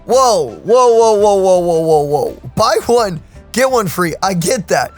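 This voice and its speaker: A woman giving casual, expressive let's play commentary, exclaiming and reading game text aloud. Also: mid-range voice, measured pace. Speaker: gaming video co-host. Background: game music.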